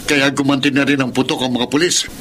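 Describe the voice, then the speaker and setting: A man's voice: medium pitch, flat delivery, quick pace, a bit bright, slight background noise, natural voice. An actor playing an earnest, protective family man in a Filipino radio drama, speaking insistently with a Filipino accent. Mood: agitated. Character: urgent, protective Filipino family father and son.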